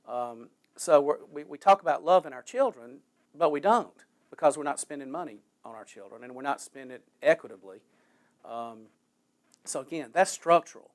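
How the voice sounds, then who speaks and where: A man giving interview commentary, halting as he searches for words, in a reverberant space with faint noise. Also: medium pitch, expressive.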